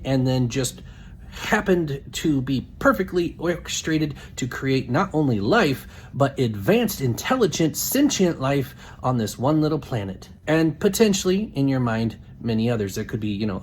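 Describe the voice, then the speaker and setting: A man's voice: mid-range voice, big pitch swings, normal speed. Emphatic man arguing, faint background noise. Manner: emphatic, challenging. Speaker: show host debating. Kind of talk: argumentative debate.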